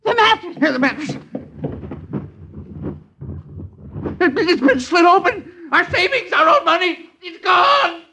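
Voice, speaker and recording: An actor playing an anxious, flustered miserly husband in 1950s radio drama style. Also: male voice, very high voice, animated, sharp tone, exaggerated voice, rough recording. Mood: panicked. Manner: flustered, halting. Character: anxious miserly husband, prone to panicked outbursts.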